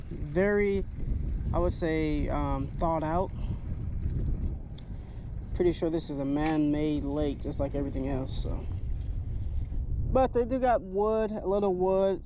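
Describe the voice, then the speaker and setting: A man's voice: fairly high pitch, darkish tone. A young man vlogging while kayak fishing on a windy lake, talking casually and rambling with fillers. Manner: laid-back, rambling, many fillers. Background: background music, wind and water.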